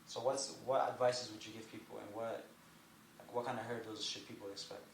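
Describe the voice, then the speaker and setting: A man's voice: on the low side, level tone, brisk, distant mic. A man hosting a casual podcast interview, slangy, often repeating and stacking his phrases. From Canada, with relatives in Sweden. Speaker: podcast host. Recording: echoey room, off-mic, clear background noise.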